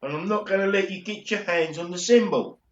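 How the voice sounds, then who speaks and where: A man's voice: sits high, roomy sound, natural voice. A man acting a threatening, mysterious guardian of cursed treasure in an amateur radio play, on a muffled, noisy home recording. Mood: menacing. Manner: slow, threatening.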